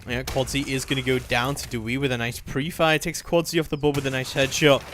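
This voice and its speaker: A man's voice: mid-range voice, little pitch movement, fairly quick, a bit bright, a little hiss or hum. A man doing live play-by-play commentary on a competitive video game match.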